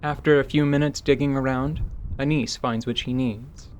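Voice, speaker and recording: Scripted story narrator, faint noise. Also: male voice, mid-range voice, steady tone, normal speed, darkish tone. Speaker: narrator of an actual-play audio drama.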